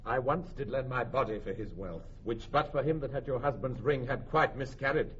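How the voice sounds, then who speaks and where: A man's voice: medium pitch, moderate pace, husky, natural voice. A man playing an earnest, melancholy merchant and loyal friend in classical Shakespeare radio drama, solemn and formal. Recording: dull, lo-fi old radio recording.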